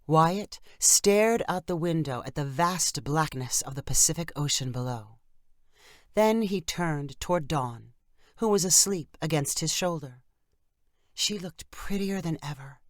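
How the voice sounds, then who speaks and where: A woman's voice: low voice, measured pace, no room sound, clean studio sound. A woman reading a scripted short story as its narrator, for people learning English.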